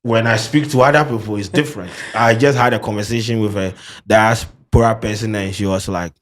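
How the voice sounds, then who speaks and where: A man with a Ghanaian English accent who mixes in Pidgin, hosting a casual studio interview in a lively, playful way. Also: lowish voice, a little fast. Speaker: podcast host.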